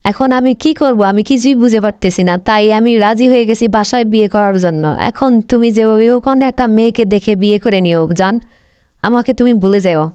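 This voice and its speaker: Fast, high-pitched scheming cartoon girlfriend, played by a woman. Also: a little mellow, clear, resonant tone, no room sound, highs cut off, lightly acted. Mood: pleading. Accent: Bangladeshi. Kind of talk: comic cartoon dialogue.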